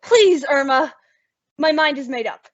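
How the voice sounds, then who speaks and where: A woman acts the level-headed roommate and narrator of a vintage radio sitcom. She is high-voiced and animated, wry and exasperated. The recording is dull. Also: a little slow, no echo. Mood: determined. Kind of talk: old-time radio comedy acting with narration. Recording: dull, lo-fi, dry audio.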